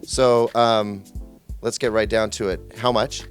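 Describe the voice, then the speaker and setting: A man working as a tech reviewer, doing a casual interview in a halting, conversational way with frequent ums. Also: fairly low pitch, flat delivery, soft background music.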